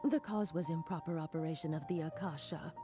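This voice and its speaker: A woman's voice: low-pitched, brisk, music in the background, close to an everyday voice. A woman voicing a courteous, deferential academy clerk in a video game, formal and helpful.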